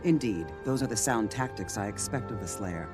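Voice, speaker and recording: An actor playing a noble veteran male hero in a fantasy video game, earnest and measured. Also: fairly low pitch, animated, music in the background, close to an everyday voice. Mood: solemn, earnest. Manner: measured, solemn.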